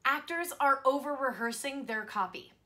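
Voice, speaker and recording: A woman in her thirties giving scripted instructional advice to camera as a voice-over coach, in an expressive presenter's delivery. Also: sits high, moderate pace. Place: small home studio, indoors.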